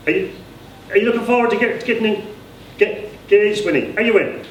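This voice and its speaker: A man's voice: sits high, voice pushed up, natural voice. Simple-hearted Irish lad, played by a male reader, storybook character, stuttering and fretful, recorded distantly in a noisy room. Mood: eager, halting. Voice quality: stammering, slurred speech. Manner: repetitive, eager, halting. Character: excitable, anxious simple-minded young Irish man. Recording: roomy, distant mic with background noise.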